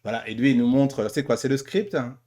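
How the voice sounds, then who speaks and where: A man hosts a relaxed interview in a mid-pitched voice. His delivery is informal and hesitant, and he often trips over words and corrects himself. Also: fairly quick. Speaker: interviewer and host. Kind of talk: casual podcast-style interview.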